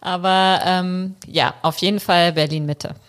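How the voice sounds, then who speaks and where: Eine Frau, in Bulgarien aufgewachsen, mit Bulgarisch als Muttersprache, spricht als Gast in einem Podcast-Interview bedächtig und mit häufigem Zögern. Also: female voice, fairly low pitch, steady tone. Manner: measured, thoughtful, with frequent hesitations. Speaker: law firm partner, podcast guest. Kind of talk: casual interview conversation.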